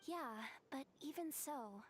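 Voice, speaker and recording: A tiny, squeaky, chatty childlike companion. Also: female voice, lively intonation, takes it slowly, slight background noise. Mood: awkwardly chirpy. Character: small chirpy childlike companion, candid and excitable. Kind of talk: animated video game voice acting.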